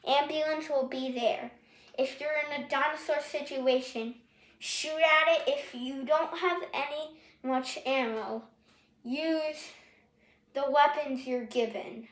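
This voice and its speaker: Woman playing a high-voiced, halting dinosaur-company trainer. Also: fairly even intonation, a bit bright. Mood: instructive. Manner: halting, improvised instructions. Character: scarred staff trainer at a dinosaur capture company. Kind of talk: amateur in-character roleplay orientation. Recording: echoey room.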